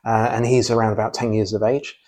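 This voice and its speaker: An English man in his fifties, speaking casually as an interview guest in a measured, hesitant way with many pauses. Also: lowish voice, flat delivery, a little mellow. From England, born in Sheffield and later Kent. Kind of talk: casual personal conversation.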